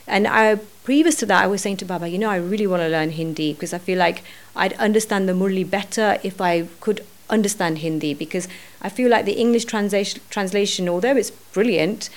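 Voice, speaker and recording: A middle-aged woman, a podcast guest, talking earnestly and thinking aloud, often pausing with fillers. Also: medium pitch. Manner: earnest, thinking aloud with many "you know"s. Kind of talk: reflective podcast conversation.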